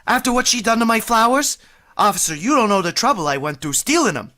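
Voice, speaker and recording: A woman's voice: fairly high pitch, lively intonation, fast talker, pushed and bright. A woman playing a flustered lovestruck young man. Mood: indignant. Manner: earnest, flustered, rambling. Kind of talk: 1940s radio acting.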